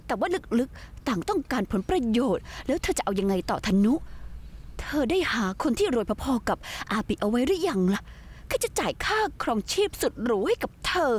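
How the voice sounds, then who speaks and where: A woman's voice: on the high side, sing-song, normal speed, slightly rough. An actress dubbing a spiteful young woman who taunts a rival with sarcasm in a TV drama. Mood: taunting. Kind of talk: dubbed TV drama.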